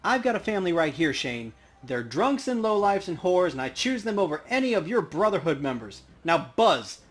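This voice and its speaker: A man's voice: sits high, very animated, moderate pace. A man voicing a tough, jaded elf woman bartender, curt and streetwise, reading video game dialogue aloud. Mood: defiant.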